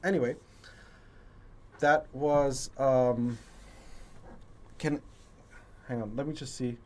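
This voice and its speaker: A man hosting a loose livestream, his voice rough and deeper than usual, strained from illness. He talks in stops and starts, full of fillers and restarts, with the odd curse thrown in. His pitch sits in an ordinary middle range. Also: steady tone, a little mellow. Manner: halting, many fillers and restarts, casual swearing. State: voice strained from a long lung infection. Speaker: livestream host. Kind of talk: casual livestream chat.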